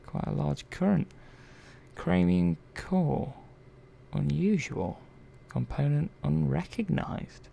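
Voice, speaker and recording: A man playing a curious, gently chatty robot repair technician in a soft-spoken ASMR roleplay, murmuring and thinking aloud in an unhurried, hesitant way. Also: fairly high pitch, creaky, full character voice. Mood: curious, puzzled. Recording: faint background noise.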